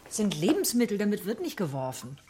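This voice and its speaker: A woman playing an exasperated, strict mother in a radio play, scolding in a husky voice. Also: mid-range voice, wildly swooping pitch, fast, background noise.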